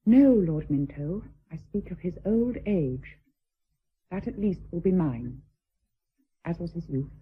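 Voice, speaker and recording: Period radio play: dignified, unyielding wife, breathy low register, formal phrasing, very narrow tinny recording. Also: female voice, expressive, exaggerated voice. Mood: quietly resolute. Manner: measured, formal, resolute. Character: proud, steadfast deserted wife, dignified English noblewoman. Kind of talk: period radio drama acting.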